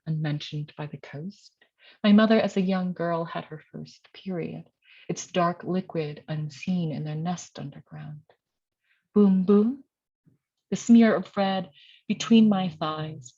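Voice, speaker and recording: A middle-aged woman with a fairly low voice gives a poetry reading, mixing her own literary lines with informal remarks. The recording is slightly dull and lo-fi. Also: measured pace, dark tone. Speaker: poet and university teacher reading own work. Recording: dull, lo-fi sound.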